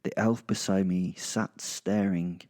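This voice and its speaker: Male narrator, low and mellow, sardonic and foul-mouthed, for first-person comic horror audio drama. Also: fairly even intonation, slow pace. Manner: gleeful, sardonic, sweary.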